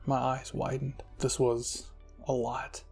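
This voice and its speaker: Man, soft ASMR storytelling, fan fiction reader, gravelly fry, breathy husk, light background music. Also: mid-range voice, flat delivery, takes it slowly.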